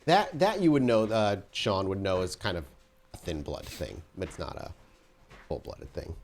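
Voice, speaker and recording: A man narrating and explaining rules as storyteller of a tabletop horror game, in a relaxed way with frequent fillers. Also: low voice, normal speed, vocal fry.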